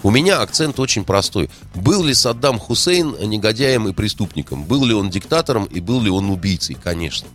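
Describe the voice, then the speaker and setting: A man's voice: fairly low pitch, expressive, brisk, hardly any pauses, soft background music. A man in a heated political discussion, indignant, firing rhetorical questions and hesitating often.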